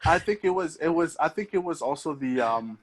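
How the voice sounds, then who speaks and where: A young man from Mexico, a podcast guest, talking in a casual, hesitant way with many pauses and restarts. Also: on the high side, expressive, rough recording. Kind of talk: casual conversation.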